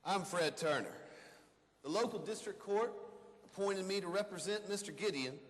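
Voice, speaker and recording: A man's voice: sits high, normal speed, lightly acted. A man playing a seasoned, proud and earnest defense attorney, addressing listeners directly in an emphatic scripted first-person reading. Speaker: reader in a readers theater re-enactment. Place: large hall before an audience. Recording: echoey hall with long reverb.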